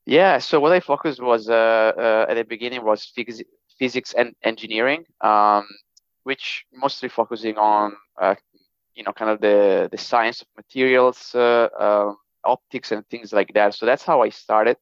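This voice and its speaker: An Italian-accented man in his thirties talks in a lower register, his voice smooth and resonant. He speaks haltingly, filling gaps with "um" and "you know". The sound is dry and a little dull, as if from a modest microphone. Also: fairly even intonation, no room sound. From northern Italy. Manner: hesitant, many fillers and restarts. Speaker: podcast guest, technology executive. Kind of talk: casual interview talk.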